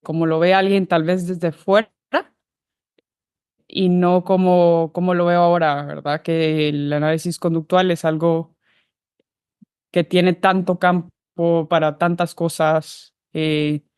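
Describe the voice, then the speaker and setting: A woman's voice: fairly low pitch, little pitch movement, takes it slowly, a little mellow, clean tone, no room sound, studio quality. A woman from Guatemala, a behavior analyst, telling her career path in an interview, thoughtful and full of restarts. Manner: thoughtful, many fillers and restarts. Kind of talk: relaxed interview conversation.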